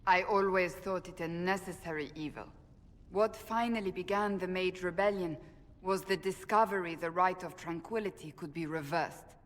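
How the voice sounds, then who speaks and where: A woman's voice: fairly low pitch, moderate pace, close to an everyday voice. A woman playing a stern, earnest warrior of a holy order in a fantasy game, speaking in a formal, intense way. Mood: grave. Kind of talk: dramatic fantasy game acting. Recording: reverberant hall with faint ambient noise.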